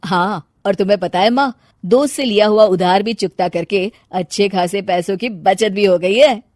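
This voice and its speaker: A woman's voice: medium pitch, moderate pace, a little mellow, no room sound, clean studio sound, natural voice. A woman playing a supportive, reassuring young husband and son in a cartoon story, speaking confidently. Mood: pleased. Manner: confident, encouraging. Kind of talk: cartoon moral-story acting.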